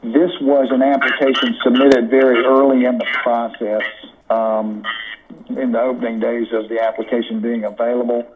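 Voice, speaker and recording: Committee presenter: American man, deliberate and halting, very narrow intonation, thin telephone-like audio. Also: mid-range voice, little pitch movement, crowd noise. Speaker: committee member presenting recommendations. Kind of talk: formal meeting report. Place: conference call. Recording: muffled conference call audio.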